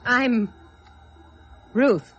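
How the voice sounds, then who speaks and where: A woman's voice: on the high side, extremely slow, faint music behind. An actress playing a bitter, hard-boiled young woman out for revenge in an old-time radio drama, tough and world-weary. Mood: cold, grim. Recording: dull old radio recording.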